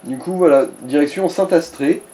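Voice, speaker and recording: A man's voice: a little high, normal speed, warm tone, slight background noise, low-quality recording. A man chatting casually as co-commentator on a video game, advising the player in slangy, mildly crude language. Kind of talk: casual gaming chat.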